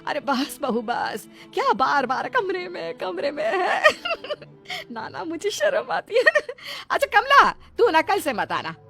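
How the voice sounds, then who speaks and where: A woman playing a sly, high-pitched mother-in-law. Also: huge pitch swings, gravelly, pushed and bright, faint music behind, heavily acted. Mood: mock-innocent, coaxing. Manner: sly, mock-innocent, drawn-out coaxing. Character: crafty, teasing older mother-in-law, self-proclaimed fox-sly schemer. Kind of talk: comic Hindi moral-story cartoon acting.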